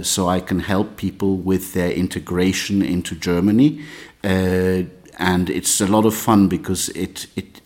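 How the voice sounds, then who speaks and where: A man from Peru with a very deep voice introduces himself at an unhurried pace. His first languages are English and Spanish. He speaks as an integration guide, pausing often to find his words. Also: a little mellow. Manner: slow, measured, with frequent hesitations. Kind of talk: personal self-introduction.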